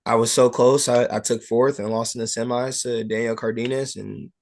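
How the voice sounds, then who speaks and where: A young adult man, a wrestler being interviewed, talking casually and personally in a halting way, peppered with "like" and "you know". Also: mid-range voice, fairly even intonation, creaky.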